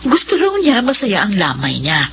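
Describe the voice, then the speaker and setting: A woman's voice: medium pitch, huge pitch swings, normal speed, a bit bright. An actress playing a cheerful, cheeky woman funeral singer chatting with a friend in a Filipino radio drama. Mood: excited, chatty. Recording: dull lo-fi radio, faint noise and hum.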